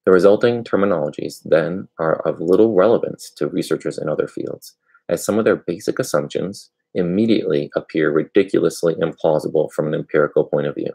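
A man in his thirties with a gravelly, husky voice, reading aloud from an academic book in a halting way, sometimes correcting himself. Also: sits low, mellow, poor audio. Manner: halting, with misreadings and self-corrections. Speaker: amateur book reader. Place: furnished room at home, indoors.

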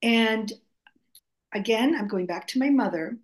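Older woman, Greek first language, slow pace, reflective storytelling, hesitant restarts, video call. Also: fairly high pitch. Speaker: author guest. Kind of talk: conversational storytelling. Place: home room, video call.

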